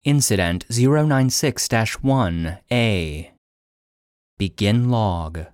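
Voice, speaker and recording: A low male voice, performed by a man narrates incident logs as an impassive database. The delivery is formal and measured, scripted audio drama with faint noise behind. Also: lively intonation, a little mellow.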